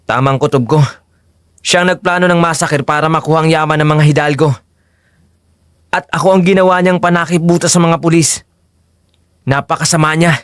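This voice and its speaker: A man playing a determined, protective fugitive in an audio drama, speaking gravely and emphatically. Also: on the high side, fairly even intonation, brisk, pauses often, fairly bright tone, dry recording, clean studio sound, close to an everyday voice. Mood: grave, urgent.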